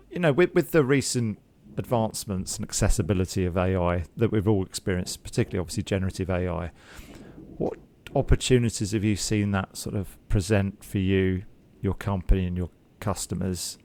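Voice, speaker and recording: A man hosts a podcast interview in a fairly low-pitched voice. He delivers a prepared welcome, then asks his questions with frequent ums and repeated words. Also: normal speed, a little mellow. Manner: scripted intro, then hesitant questions with repeats. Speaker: podcast host.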